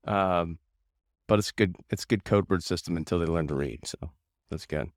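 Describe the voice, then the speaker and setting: A man's voice: low-pitched, normal speed, no echo, clean studio sound. A man hosting a podcast in an upbeat, informal, enthusiastic way, chatting casually with a guest. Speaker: podcast host. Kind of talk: casual interview chat.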